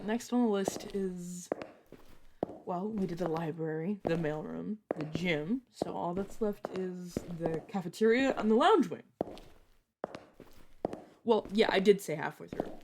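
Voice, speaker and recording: A woman's voice: on the low side, noisy background. A woman playing a casual, reassuring vampire guide in an audio roleplay, talking informally to the listener as a mentor to a newcomer. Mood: chatty, casual. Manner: chatty, informal, self-correcting, reassuring.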